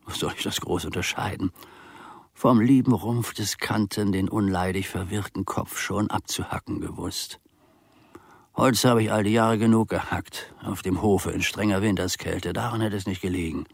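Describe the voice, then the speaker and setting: An actor playing a proud, bitter old manservant in a theatrical radio monologue, pompous and muttering, with a husky voice that drops into whispers. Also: male voice, deep voice, steady tone, moderate pace, dry recording, clean studio sound, full character voice. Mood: bitter, brooding. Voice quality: husky, breathy, dropping into whispers. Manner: pompous, muttering, with sudden outbursts and old-fashioned phrasing. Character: aggrieved, proud old manservant bitterly settling accounts with his master.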